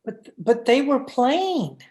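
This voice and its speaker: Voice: high voice, big pitch swings, slow, darkish tone, full character voice. An actor playing a meek, henpecked husband in an old-time radio drama, hesitant, timid and submissive. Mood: meek, placating.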